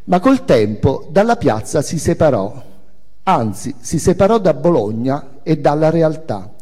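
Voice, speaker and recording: An older man gives a theatrical reading of a memoir in a reverberant hall. His voice is mellow and mid-pitched, with wide, expressive swings in intonation. He shapes each phrase with deliberate pauses and emphasis. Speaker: author and performer reading own memoir on stage.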